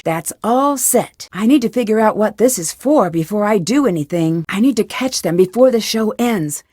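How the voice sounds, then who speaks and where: A woman voicing a curious, determined young female detective in an adventure game, talking to herself in clear, purposeful scripted lines. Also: mid-range voice, darkish tone, dry recording, clean studio sound, natural voice. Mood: focused, musing. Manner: clear self-talk, inquisitive and purposeful. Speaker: game protagonist.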